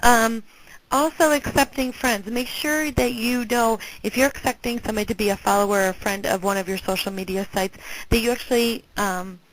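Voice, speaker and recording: A woman's voice: fairly high pitch, fairly even intonation, brisk. A woman giving an informal instructional presentation in a conversational, directive way, often checking in with her listeners. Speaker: presenter, alumni relations career staffer. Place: group conference call. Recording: clearly noisy conference call with clicks.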